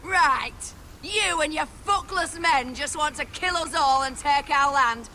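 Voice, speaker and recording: A woman's voice: high-pitched, unhurried, sharp tone. An actress playing a menacing, taunting wildling woman raider in a fantasy video game. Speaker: game villain. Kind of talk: dramatic video game acting. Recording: noisy outdoor game ambience.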